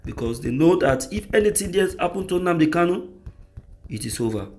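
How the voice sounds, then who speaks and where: A man's voice: high-pitched, sing-song, brisk, darkish tone. A man with a Nigerian accent reading out news and giving impassioned commentary, repeating phrases for emphasis.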